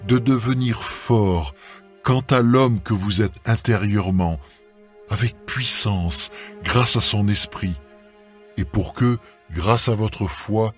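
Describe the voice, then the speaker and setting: A man reading scripture aloud as a solemn scripted dramatised Bible recording, with measured, deliberate phrasing. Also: fairly low pitch. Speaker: scripture reader. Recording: music under the voice. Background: background music.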